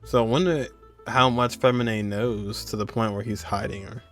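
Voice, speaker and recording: A man's voice: mid-range voice, fairly even intonation, normal speed. Male game streamer reacting casually. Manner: reactive, muttering asides, echoes dialogue. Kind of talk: casual playthrough commentary. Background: game music.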